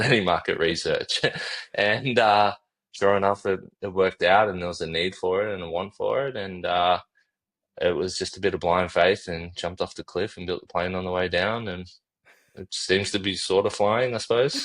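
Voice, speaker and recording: A man's voice: low-pitched, normal speed, a bit bright. A man, a founder talking as a podcast guest in a laid-back, casual way, chuckling often and swearing now and then. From Australia. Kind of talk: casual interview chat.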